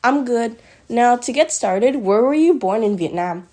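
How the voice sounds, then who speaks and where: A woman's voice: on the high side, lively intonation, a little slow, warm tone. A woman interviewing her father, reading prepared questions plainly. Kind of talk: family interview.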